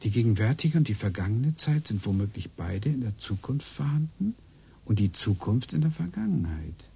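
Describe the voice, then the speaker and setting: An actor playing a brooding computer engineer who mutters to himself and grows agitated in a radio play. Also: male voice, mid-range voice, sing-song, moderate pace, vocal fry, warm tone, breathy edge, exaggerated voice.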